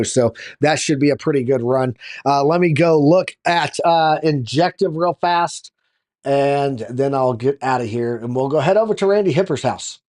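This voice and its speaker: A slightly hoarse man hosting a live market show, giving casual chart commentary and addressing viewers informally like buddies. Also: a little high, a little mellow.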